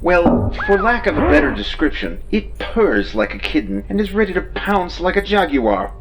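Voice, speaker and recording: A man's voice: high-pitched, big pitch swings, moderate pace, music in the background, low-quality recording. A man voicing a self-assured ship's artificial intelligence in a sci-fi audio drama, precise and wordy with a dry wit. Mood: dryly proud. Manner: precise, wordy, dryly witty. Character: droll, self-assured male ship robot intelligence. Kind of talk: science fiction audio drama acting.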